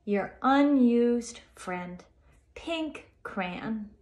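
Woman, high animated voice, sassy indignant storybook crayon, roomy echoing recording. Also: extremely slow. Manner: sassy, emphatic. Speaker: school staff member reading aloud. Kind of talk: dramatic children's storybook reading. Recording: roomy home video echo.

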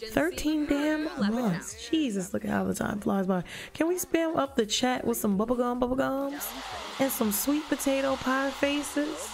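A young woman livestreaming a life-sim game, chatting casually with her viewers in a lively, joking, profane way on a headset mic. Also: high-pitched, unhurried, vocal fry, background noise. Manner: lively, joking, talks back to chat, profane slang. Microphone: headset mic at desk. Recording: game music playing behind.

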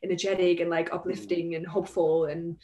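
A young woman from Denmark chats over a video call in English, her native tongue being Danish. Her voice sits slightly low, and she rambles easily with fillers. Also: level tone, fairly quick, mellow. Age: young adult. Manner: chatty, many fillers and likes. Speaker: guest singer. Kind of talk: casual interview chat. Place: home room indoors. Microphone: headset on video call.

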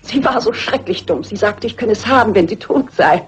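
A woman's voice: mid-range voice, lively intonation, fairly quick. An actress playing a scheming, contemptuous woman killer who poses as a harmless spinster, theatrical in a dubbed crime film. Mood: gloating contempt. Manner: theatrical, gloating. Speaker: murderer unmasked by the detective. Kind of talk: 1960s crime film dub. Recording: muffled husky old film soundtrack with music.

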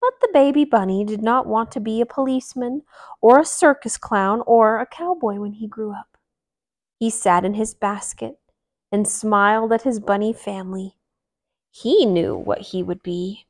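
A woman in her thirties reads a children's story aloud. Her voice sits at a middle pitch, and she tells it in an animated way, stretching the ends of phrases for young listeners. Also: normal speed. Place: small living room, indoors. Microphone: clip-on lapel mic.

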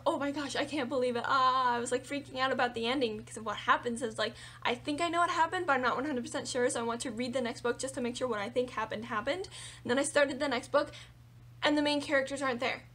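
A young woman book vlogger chatting casually and animatedly about her reading, her voice a little raspy from singing. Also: high voice. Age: young adult. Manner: animated, chatty, lots of fillers and hand gestures. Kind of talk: casual monthly reading wrap-up. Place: small room with bookshelves indoors.

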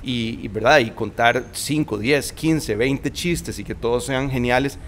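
A man's voice: mid-range voice, a little slow, a bit bright, a little hiss or hum. Reflective Costa Rican man, hesitant. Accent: Costa Rican Spanish. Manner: thoughtful, hesitant, many restarts and fillers. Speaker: guest. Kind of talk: casual interview conversation. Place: shaded outdoor veranda. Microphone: studio mic on boom arm.

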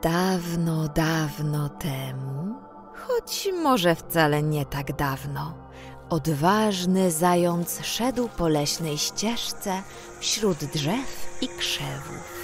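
A woman voicing a kindly female forest fairy who tells a tale in a children's audio drama, warm and chatty. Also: sits low, animated, very slow, heavily acted. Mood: friendly. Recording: soft music underneath.

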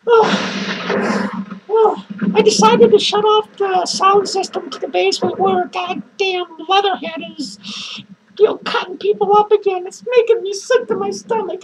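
Woman voicing a squeaky drunk clown, unhinged, swearing, cackling, soft background hiss. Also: poor audio. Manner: manic cackling, swearing, lurching between shouts and mutters. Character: unhinged drunk male clown, crude and rambling. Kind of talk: improvised character monologue to viewers.

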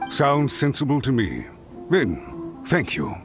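A fussy, deferential clan official in an anime game. His medium voice swings widely in pitch, formal and obliging, over faint music. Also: wildly swooping pitch, takes it slowly. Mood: businesslike. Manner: formal, obsequious. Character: deferential, fussy clan retainer planning a grand wedding.

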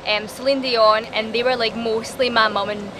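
A woman's voice: fairly high pitch, fairly even intonation, normal speed, noisy background. A young woman from Scotland with a Scottish accent, talking earnestly and gushingly to camera about her singing career. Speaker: aspiring singer. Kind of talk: promotional profile talk to camera. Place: studio and city streets.

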